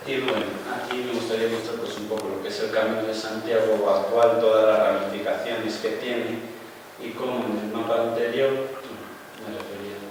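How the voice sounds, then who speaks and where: Low-voiced male lecturer in an echoey hall. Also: fairly even intonation, normal speed. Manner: asks rhetorical questions and answers them himself; loose and explanatory, with many "pues" and "eh" fillers. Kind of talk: informal lecture with slides. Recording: echoey hall, distant mic, background noise.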